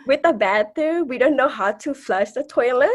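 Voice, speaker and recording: A woman's voice: sits high, animated, moderate pace. Young woman guest speaking with a Karenni accent. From Thailand, refugee camp. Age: 20s. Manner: hesitant, with restarts and fillers; laughs softly between points. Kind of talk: conversational interview.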